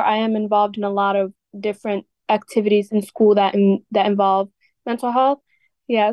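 A teenage girl, a high school student born in Ethiopia, answering radio interview questions earnestly with many fillers like "like" and "you know". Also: dark tone, no echo. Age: teens.

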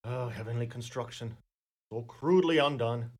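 An actor playing a courtly, pompous small-town Irish coroner in a radio play, speaking in an ornate, deliberate manner. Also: male voice, mid-range voice, natural voice. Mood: rueful, courtly.

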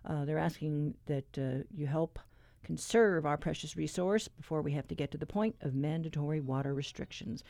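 A woman's voice: deep voice, normal speed, creaky, warm tone, no echo. A woman hosting a public radio program in a conversational interviewing style. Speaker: radio show host.

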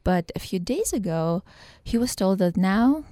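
A woman from Ukraine, a political science professor, answering radio interview questions thoughtfully with frequent pauses and fillers. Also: medium pitch, animated, mellow, dry recording, clean studio sound. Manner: thoughtful, with many hesitations and fillers.

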